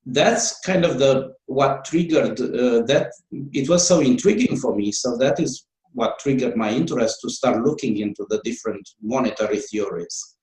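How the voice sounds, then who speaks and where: A man's voice: mid-range voice, moderate pace, a little mellow. Man, Romanian accent, hesitant, lots of fillers, echoey room. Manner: hesitant, many fillers and restarts. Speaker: guest author. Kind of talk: interview answers.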